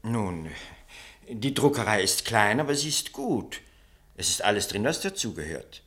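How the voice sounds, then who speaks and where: An actor playing a wary, hard-pressed small print-shop owner, cautious and hesitant, in a German radio play. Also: male voice, fairly low pitch, sing-song, normal speed, fairly bright tone. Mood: defensive.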